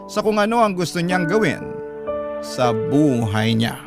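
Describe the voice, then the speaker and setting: A man's voice: fairly high pitch, very animated, unhurried, vocal fry. A man narrating a scripted love story as a radio host, reading dramatically. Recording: loud music bed under voice. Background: loud instrumental music.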